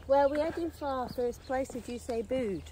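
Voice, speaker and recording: A woman's voice: high-pitched, normal speed. A middle-aged woman chatting bluntly and teasingly on a cold country walk, recorded on a phone at arm's length. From England. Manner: blunt, teasing, laughs often. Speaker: vlogger. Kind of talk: casual chat while walking. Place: outdoors on a country lane, cold morning. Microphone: phone held at arm's length. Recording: outdoor background noise.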